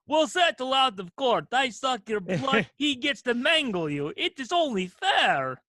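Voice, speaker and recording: A pompous vampire prince of darkness for podcast comedy, acted out by a podcaster. The voice sits high and swoops theatrically, grand and haughty yet fussy and easily spooked. It is bright and close. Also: very animated, unhurried, no echo, heavily acted.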